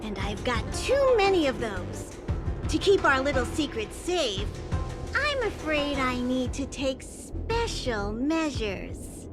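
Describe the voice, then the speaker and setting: A woman voicing a scheming gang boss in a game cutscene, slow and mock-polite. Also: high-pitched, big pitch swings, exaggerated voice. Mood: smug menace. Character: scheming female gang boss, cold and threatening. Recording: game audio over music.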